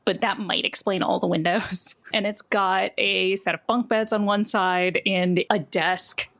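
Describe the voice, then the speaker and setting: A woman's voice: mid-range voice, steady tone, a little slow, fairly bright tone. A woman narrating a tabletop game as game master, improvising descriptions in a conversational way with casual asides.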